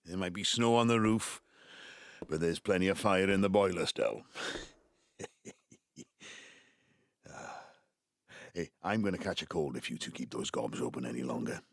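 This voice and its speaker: An actor playing a jovial Welsh father of seventy-five in an audio drama, with a South Wales Valleys accent, a husky gravelly voice and an effusive, jokey manner. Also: low-pitched, measured pace, pauses often, no echo, clean studio sound. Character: ageing Welsh father, jovial rogue seeking to make amends. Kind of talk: naturalistic audio drama acting.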